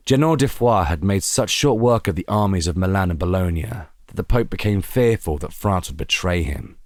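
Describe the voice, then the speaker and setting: A man reading a scripted historical story as a narrator, in a measured, deliberate, theatrical way. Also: low-pitched, dry recording, clean studio sound. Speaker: audio drama narrator.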